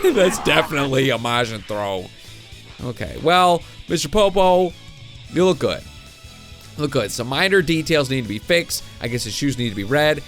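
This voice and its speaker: A man's voice: mid-range voice, lively intonation, normal speed, a bit bright. A young man hosting a gameplay video, musing uncertainly and chuckling often. He is getting over a cold, so his voice sounds a bit off. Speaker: gaming video host. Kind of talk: casual gameplay commentary. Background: game music.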